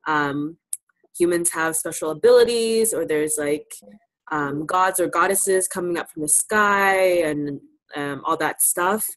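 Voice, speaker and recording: A woman with a slightly low-pitched voice presents a lesson in an informal way. She invites participation and encourages those she teaches. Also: measured pace, a bit bright, poor audio. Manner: encouraging, affirms answers. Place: live virtual presentation.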